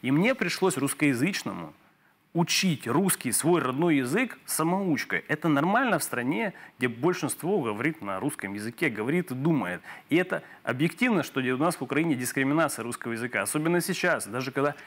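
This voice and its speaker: A man's voice: mid-range voice, lively intonation, a bit bright. Persistent young man arguing on TV. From Ukraine. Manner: measured, persistent, many fillers. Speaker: TV host. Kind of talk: heated debate. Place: TV studio. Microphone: lapel.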